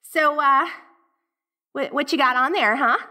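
A woman's voice: high-pitched, measured pace, pushed and bright. A woman playing a dorky tsundere ghost girl from the eighties in an audio roleplay, with an American valley-girl accent and a gushing, hesitant, slangy manner. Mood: curious, incredulous. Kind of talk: audio roleplay acting, speaking directly to the listener.

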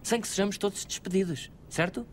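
A woman's voice: mid-range voice, fairly quick, slight background noise, close to an everyday voice. A woman with a European Portuguese accent voicing a worried, imploring theatre company member in a cartoon dub.

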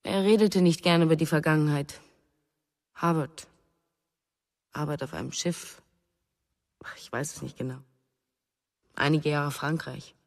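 Grieving young wife for radio drama: deep female voice, restrained, reverberant sound. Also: fairly even intonation, unhurried, pauses often, darkish tone. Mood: subdued, defensive. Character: grieving, restrained young mother with a missing husband. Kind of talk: German radio drama acting.

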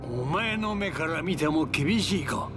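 Game role: stern older commander, measured slow delivery, slightly raised pitch, music behind. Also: male voice, expressive. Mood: grave. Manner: grave, measured, authoritative. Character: stern, dutiful older male military commander. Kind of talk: video game drama acting.

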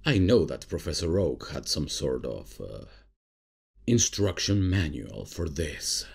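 Man acting as cocky slacker professor, husky gravelly voice, very slow delivery, ASMR comedy roleplay. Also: very deep voice, big pitch swings, darkish tone, heavily acted. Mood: casual, friendly. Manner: casual, slow, hesitant improvising. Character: laid-back, unprofessional male substitute professor, cocky and casual. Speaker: roleplay performer.

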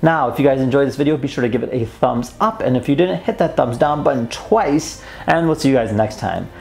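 A man's voice: mid-range voice, brisk, gravelly, darkish tone. A young man giving casual, slangy and enthusiastic gameplay commentary as a toy reviewer. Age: young adult. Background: game music.

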